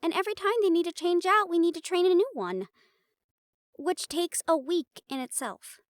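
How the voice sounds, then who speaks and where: A woman's voice: very high-pitched, normal speed, no echo, clean studio sound. A woman playing a playful, sarcastic and tired young team member in a fantasy audio drama, talking casually. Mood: matter-of-fact. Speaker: voice actor in an audio roleplay. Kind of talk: fantasy audio drama roleplay, conversational acting.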